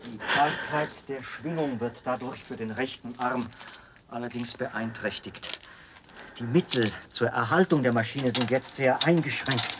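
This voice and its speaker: An actor playing a zealous, pedantic execution officer in a radio drama, explaining with precise, emphatic delivery. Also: male voice, mid-range voice, background noise, natural voice. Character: zealous male execution officer, pedantic, devoted to his machine.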